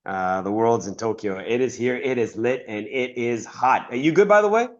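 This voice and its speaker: A man hosting a sports podcast with energetic, hype-announcer flair. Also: medium pitch, moderate pace, highs cut off. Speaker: podcast host. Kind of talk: upbeat show hosting.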